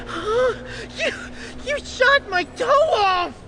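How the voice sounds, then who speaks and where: Radio play thug: very high, swooping, crude, whining shouts, loud noisy background. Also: male voice, animated, very slow, full character voice. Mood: panicked rage. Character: crude thuggish gang wannabe, panicking bully. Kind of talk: audio drama acting. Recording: very noisy audio drama action clip.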